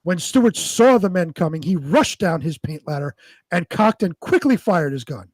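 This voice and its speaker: A man co-hosting a live show, moving between amateur scripted narration and casual, halting chat. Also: sits high, animated, a little mellow, no echo. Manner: halting, repeats words when ad-libbing.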